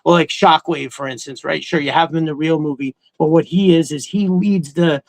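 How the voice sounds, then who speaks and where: A man's voice: on the high side, fairly even intonation, fairly quick, dry recording. A man chatting casually and emphatically as a podcast co-host, swearing freely and rambling through his opinions. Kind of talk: casual opinionated fan chat.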